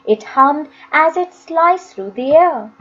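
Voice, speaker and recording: A woman's voice: high voice, lively intonation, slow, a bit bright, lo-fi sound. A woman narrating a children's novel expressively, as an audiobook reader.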